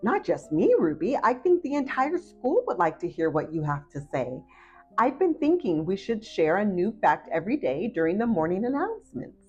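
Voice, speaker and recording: A woman voicing a kindly, encouraging woman school principal in a children's storybook, gentle and attentive. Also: mid-range voice, very animated, normal speed, breathy edge, muffled recording, faint music behind.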